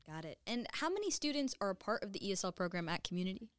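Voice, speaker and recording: Lady interviewer, talks fast, really crisp voice, casual questions. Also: medium pitch, big pitch swings, dry recording, clean studio sound.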